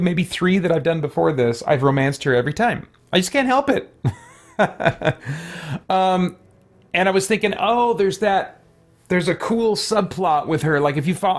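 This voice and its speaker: A man with a slightly high voice hosts a video game playthrough. He talks casually, musing and wandering from thought to thought as he decides things aloud. Game music plays quietly underneath. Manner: rambling, musing, thinking aloud. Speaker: gaming host. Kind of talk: casual let's play commentary. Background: faint game music.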